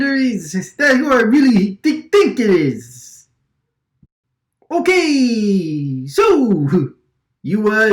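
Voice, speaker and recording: A woman's voice: sits high, reverberant, heavily acted. A woman acting an agitated, stammering wiseguy man with a vacuum for a face, recording radio drama lines. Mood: bewildered, suspicious. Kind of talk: radio drama line takes, gangster-movie wiseguy delivery.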